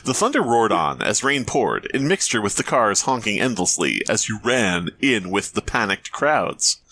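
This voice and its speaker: A man co-hosting a podcast, reading fan fiction mock-dramatically with casual, expressive banter and frequent riffing asides. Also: medium pitch. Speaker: podcast co-host.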